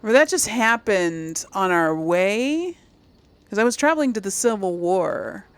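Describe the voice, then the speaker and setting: Slow-paced, animated woman playing a game. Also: medium pitch, clean tone, no echo. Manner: talks to the game and its characters, thinks aloud. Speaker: gaming video host. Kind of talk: casual let's play commentary.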